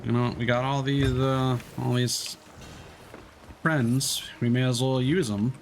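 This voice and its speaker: A man's voice: mid-range voice, steady tone, slow pace, a little hiss or hum. A man casually talking through his gameplay as a streamer, chatty and thinking aloud with frequent self-corrections.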